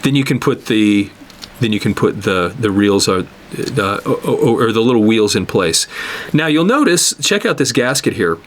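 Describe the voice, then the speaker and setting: A man giving an unscripted hands-on repair tutorial, explaining step by step in a halting way and repeating words as he works. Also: fairly low pitch, expressive, slight background noise. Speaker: instructor demonstrating cassette tape repair.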